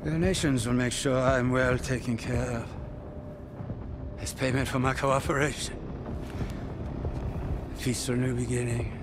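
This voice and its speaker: An actor playing an arrogant, scheming Nazi officer in a video game cutscene, with a haughty, condescending, deliberate delivery. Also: male voice, medium pitch, steady tone, noisy background, close to an everyday voice. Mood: smug.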